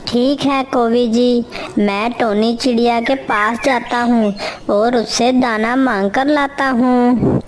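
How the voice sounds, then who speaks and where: A woman voicing a lazy, scheming male crow in a children's cartoon, with a coaxing, deliberate delivery. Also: fairly high pitch, steady tone, pitched above the performer's own voice. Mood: agreeable. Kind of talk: Hindi children's cartoon voice acting.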